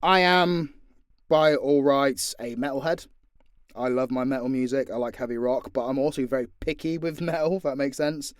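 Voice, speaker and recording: British guy chatting off the cuff, blunt n sweary, mid pitch, really dry close sound. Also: moderate pace, clear, resonant tone, no room sound. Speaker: audio drama creator answering fan questions. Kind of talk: casual unscripted Q&A chat.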